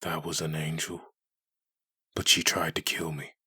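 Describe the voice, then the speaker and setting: A man playing a gruff, confused undead antihero with a husky, gravelly voice in a comic dub. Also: a bass voice, monotone, measured pace, pushed and bright, no room sound, clean studio sound, full character voice.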